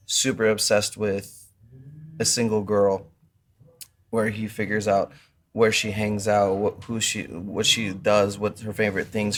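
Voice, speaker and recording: A man co-hosting a podcast in casual chat, with loose, slangy phrasing. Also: fairly low pitch. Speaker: podcast co-host.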